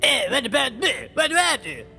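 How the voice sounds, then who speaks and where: A bright, sharp-edged baguette robot, played by a woman, hapless and whiny. It is desperate to please in a comic audio drama, music behind it. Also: high-pitched, big pitch swings, fairly quick, heavily acted. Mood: self-pitying. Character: hapless, self-pitying robot baguette man who jokes. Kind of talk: comedic audio drama acting.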